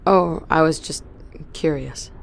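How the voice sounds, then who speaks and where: A tentative, curious newcomer to a spaceship speaks haltingly in a low voice. It is audio drama acting with a faint ambient bed. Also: female voice, gravelly, a little hiss or hum, exaggerated voice. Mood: curious, hesitant. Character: hesitant, curious woman newly woken from cryosleep.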